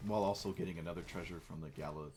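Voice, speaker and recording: A man's voice: low-pitched, fairly even intonation, rapid-fire, a little mellow, slight background noise. Wry young man talking through a card game. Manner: chatty, wry, playfully mock-dramatic. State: slightly hoarse. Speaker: streamer. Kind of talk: casual gameplay commentary.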